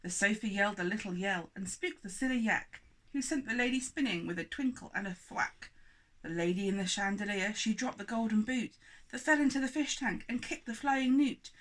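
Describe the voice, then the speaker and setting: A woman voicing an excitable, guilty small boy who makes hasty, pleading excuses in a storybook. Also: mid-range voice, normal speed, natural voice, rough recording. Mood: eager, blaming.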